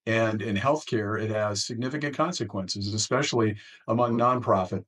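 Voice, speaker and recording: Lowish-voiced male interview host. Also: moderate pace, a bit bright. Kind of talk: conversational interviewing.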